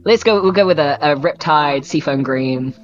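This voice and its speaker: A man's voice: fairly high pitch, normal speed, dull audio, soft background music. A man with a New Zealand accent chatting casually as a player in a tabletop game, tentative and thinking aloud.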